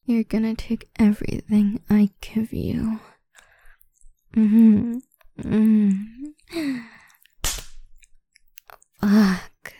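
Slow, breathy whispering woman, domineering. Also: on the high side, warm tone, no room sound. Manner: domineering, commanding, taunting. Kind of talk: whispered erotic roleplay audio.

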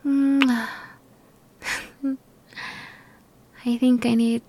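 A young woman whispers slowly and softly, all affection, sounding sick and worn out. Her breathy voice sits a little high and carries a gravelly edge. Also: fairly even intonation. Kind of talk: whispered ASMR girlfriend roleplay.

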